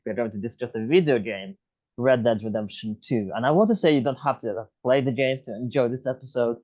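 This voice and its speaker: A man from Scandinavia hosting a podcast, introducing his guest with halting speech and frequent restarts. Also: mid-range voice. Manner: halting, restarts and repeats words. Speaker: podcast host. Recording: dull lo-fi audio.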